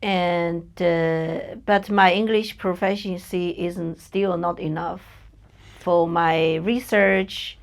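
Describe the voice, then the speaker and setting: A woman with a Chinese accent, a native Chinese speaker, talks slowly as a podcast guest in a personal interview. She pauses and hunts for words with many fillers. Her voice carries a gravelly fry. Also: lowish voice, fairly even intonation. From China.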